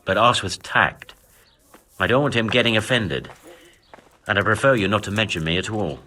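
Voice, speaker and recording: Bright, low-voiced, cautious man in charge. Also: slight background noise. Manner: measured, deliberate, discreet. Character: measured, cautious man of authority directing an inquiry. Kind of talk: video game dialogue acting.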